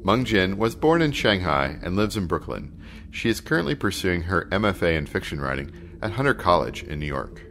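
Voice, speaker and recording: A man's voice: deep voice, sing-song, fairly quick, fairly bright tone, a little hiss or hum. A man hosting a podcast, reading scripted closing announcements. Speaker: podcast host.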